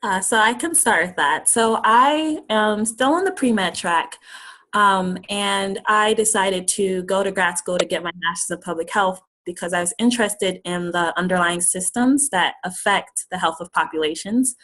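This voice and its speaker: A woman's voice: medium pitch, normal speed, fairly bright tone, poor audio. A woman in her twenties, a graduate student, speaking earnestly and correcting herself as a guest on a webinar video call. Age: 20s. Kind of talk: webinar talk.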